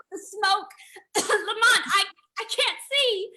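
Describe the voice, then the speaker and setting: A woman's voice: very high voice, slow pace, bright, forceful tone, full character voice. A woman playing a frightened young lady, the hero's companion, in a melodramatic old-time radio drama reading. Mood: panicked.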